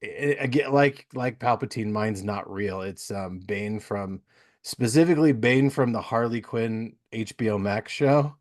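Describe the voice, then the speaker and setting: A man's voice: fairly low pitch, measured pace, dry recording, clean studio sound. A man hosting a podcast in a casual, chatty style. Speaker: podcast host. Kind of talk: casual chat.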